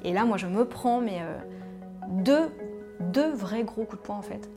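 Woman, 30s, candid storyteller, animated delivery, faint music behind. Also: medium pitch, unhurried. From Paris, France. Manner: candid, animated, frequent fillers. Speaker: tobacco cessation specialist telling her story. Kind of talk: personal storytelling podcast.

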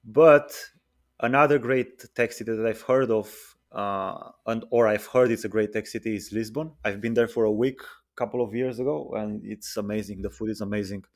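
A man in his twenties, a podcast guest chatting casually and relaxed, with many fillers and pauses. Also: on the low side. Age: 20s. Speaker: guest, freelance software engineer.

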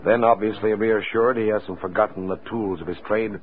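A man's voice: on the low side, fairly even intonation, normal speed, background noise. A man announcing and narrating in scripted 1940s radio style. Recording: tinny vintage radio recording. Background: music.

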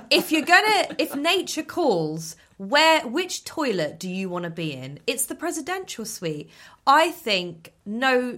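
A woman co-hosting a podcast in casual, emphatic chat, her voice husky from a cold. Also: medium pitch, a bit bright. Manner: enthusiastic, emphatic, repeats herself for effect. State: husky voice from illness. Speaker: podcast guest and co-host.

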